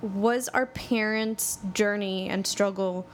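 A woman hosting an interview, relaxed and conversational as she shares her own story. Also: medium pitch, slow pace, a little hiss or hum. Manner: relaxed, conversational, sharing personal stories. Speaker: host.

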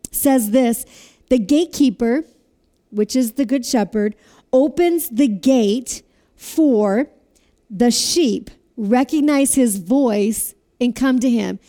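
A woman preaches a sermon in a high, mellow voice. She has a loud voice and keeps asking her listeners to repeat lines back to her. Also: slow pace. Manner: asks the audience to repeat phrases.

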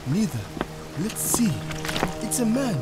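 An actress plays a bold, sharp-tongued female adventurer with a lively, swooping voice. She is urgent and commanding, in fantasy audio drama style, with loud music and rain around her. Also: fairly high pitch, slow pace. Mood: wary, alarmed.

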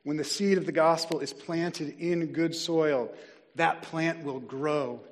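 A man preaching a sermon as a pastor, earnest and deliberate, slowing down to stress key words. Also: a little high, fairly even intonation. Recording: long reverb of a large hall.

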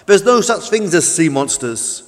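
A man's voice: high voice, sing-song, a little slow, big-room sound. A man voicing a protective, down-to-earth tugboat in a children's story, speaking emphatically in everyday words. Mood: dismissive. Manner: emphatic, colloquial.

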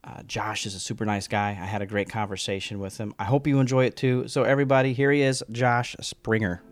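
A man's voice: fairly low pitch, level tone, brisk. A man hosting a podcast, interviewing a guest at the guest's office in an upbeat way, with frequent stammered restarts. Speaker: podcast host. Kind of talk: casual interview.

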